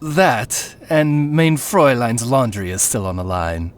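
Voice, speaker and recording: A man's voice: mid-range voice, animated, measured pace, very noisy, natural voice. A man voicing a raven familiar in a video game, speaking politely and dryly like a butler to a princess. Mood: dry, deadpan. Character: dry, courteous raven familiar serving a princess. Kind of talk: scripted video game character acting.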